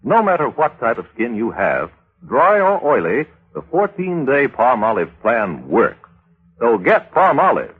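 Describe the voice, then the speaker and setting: A man's voice: medium pitch, husky. An American man reading as a commercial announcer in the style of 1940s radio advertising, on a muffled, tinny old broadcast.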